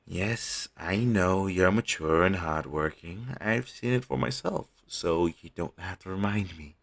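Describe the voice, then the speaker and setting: A man playing a caring, teasing talent manager, coaxing a troubled actor in a one-sided audio roleplay with a low-quality sound. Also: measured pace, creaky. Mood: concerned, coaxing. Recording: low-quality amateur recording.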